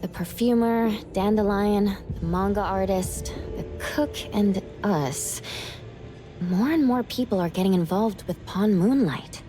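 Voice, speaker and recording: A woman playing a composed, analytical young female investigator in a fantasy video game, speaking in a measured, deliberate way. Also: mid-range voice, over music, close to an everyday voice. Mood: pensive, analytical. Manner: measured, deliberate, reasoning aloud. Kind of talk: scripted anime game voice acting.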